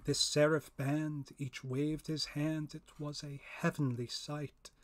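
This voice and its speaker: A man giving a dramatic reading of a narrative poem, solemn, theatrical and deliberate. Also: fairly high pitch, little pitch movement. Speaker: poetry reader, professor.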